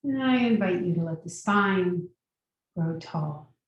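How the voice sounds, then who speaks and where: A woman guiding a meditation as a teacher, giving gentle, unhurried instructions with long pauses. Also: fairly low pitch, animated, muffled recording. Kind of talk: guided meditation. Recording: very echoey room, distant mic.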